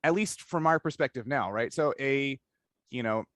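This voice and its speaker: Man, podcast interviewer, medium pitch, analytical, thinking aloud, conversational, very dry sound. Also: normal speed, a bit bright, no room sound. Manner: thinks aloud in long, analytical stretches, checking with "right?". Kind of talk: casual discussion interview.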